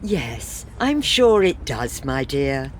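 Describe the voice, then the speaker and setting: A woman's voice: rumbling low, big pitch swings, slow pace, exaggerated voice. A woman acting a sensible, dryly exasperated middle-aged wife in a comic period farce. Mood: soothing, dry.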